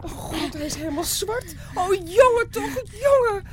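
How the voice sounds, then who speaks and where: A woman's voice: very high-pitched, lively intonation, unhurried, noisy background. An actress playing a caring village mother, soothing and tearful in a radio drama. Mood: distressed.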